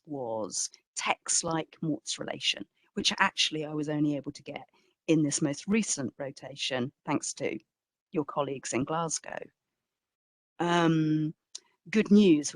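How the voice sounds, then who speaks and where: A woman speaking informally as a guest curator answering questions, with an animated, self-deprecating, emphatic manner. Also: low-pitched, dry recording.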